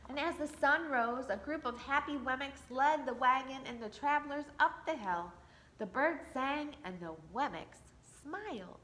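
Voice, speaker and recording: A woman reading a picture book aloud to children at church, expressive with playful asides. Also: high voice, measured pace, low-quality recording. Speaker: church storyteller.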